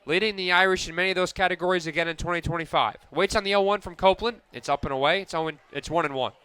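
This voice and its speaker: A man calling softball play-by-play for radio, narrating each pitch and play in crisp descriptive detail. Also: racing, no room sound. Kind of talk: live softball radio commentary.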